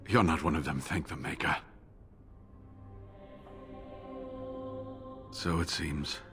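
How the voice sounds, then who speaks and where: An actor playing a wounded, devoted elderly scholar in a video game, speaking in a halting, earnest way. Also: male voice, sits low. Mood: pained, relieved. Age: older.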